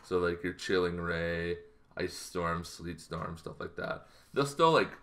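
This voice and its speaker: A man in his thirties giving a casual, rambling explainer to camera, halting as he goes, in a small bedroom. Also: deep voice, lively intonation, a bit bright. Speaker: host of a tabletop roleplaying channel.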